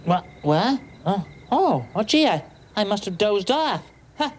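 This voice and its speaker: A man voicing a gentle, childlike talking bird who rambles soothingly and hesitantly as host of a children's puppet show. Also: high voice, very animated, a little slow. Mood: eager, flustered. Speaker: host giving bedtime tips. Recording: clearly noisy home video soundtrack.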